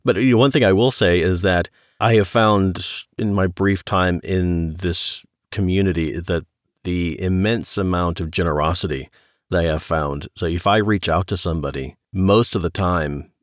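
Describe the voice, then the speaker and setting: A man's voice: low-pitched, normal speed, no room sound, studio quality. A man hosting a podcast, speaking in a conversational style. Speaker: podcast host.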